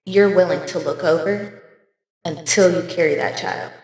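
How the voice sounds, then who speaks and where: A woman's voice: fairly low pitch, steady tone, moderate pace, a little mellow. A woman in her twenties from California, co-hosting a podcast, talking frankly and casually with slang and frequent laughs. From Oakland, California. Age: 20s. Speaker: podcast co-host. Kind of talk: casual chat. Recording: echoey room with long reverb.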